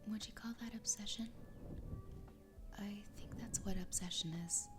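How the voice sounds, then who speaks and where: A woman playing an adoring, obsessive fox girl wife in an ASMR roleplay, whispering breathily and close to the microphone in a slow, intimate, soothing way. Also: medium pitch, steady tone, over music, close to an everyday voice. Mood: blissfully obsessed. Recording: close whisper with clear background noise.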